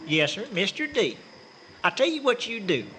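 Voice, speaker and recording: Male actor as excitable small-town Southern man, high swooping voice, talkative, insistent, noisy radio-drama recording. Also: moderate pace, full character voice. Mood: friendly, persuasive. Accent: American South. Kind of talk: comic old-time-style radio drama.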